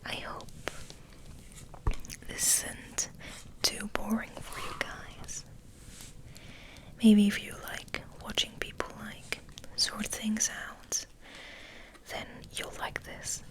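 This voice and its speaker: A woman giving a soft, breathy, whispered ASMR ramble as host, in a gentle, unhurried, musing way. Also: medium pitch, monotone, low-quality recording.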